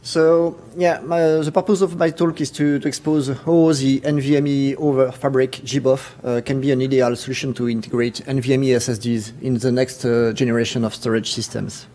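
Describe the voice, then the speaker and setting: A man with a French accent giving a technical conference presentation, halting, with many fillers and restarts. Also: mid-range voice, level tone, dark tone. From France. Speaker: conference speaker.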